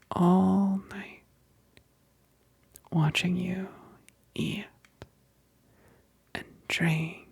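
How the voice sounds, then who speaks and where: A woman's voice: fairly low pitch, darkish tone, no room sound, exaggerated voice. A woman playing an affectionate, self-conscious vampire best friend in an intimate ASMR roleplay, whispering hesitantly and tenderly, close to the mic. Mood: teasing, hungry. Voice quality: breathy, whispery, creaky. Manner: slow, hesitant, halting, tender. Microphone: close, dry microphone.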